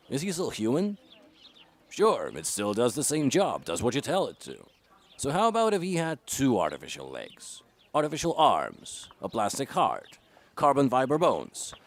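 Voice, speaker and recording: A man's voice: mid-range voice, exaggerated voice. An actor playing a brash, foul-mouthed man in a voiced comic, sardonic, abrasive and theatrical. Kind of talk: voiced comic audio drama.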